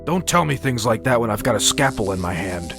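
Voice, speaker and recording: A man's voice: mid-range voice, lively intonation, a little fast, gravelly. A man playing a grumpy, sarcastic robot ship's doctor with a dry delivery in an audio drama. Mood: grumbling, sarcastic. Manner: dry, sardonic. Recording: music under the voice.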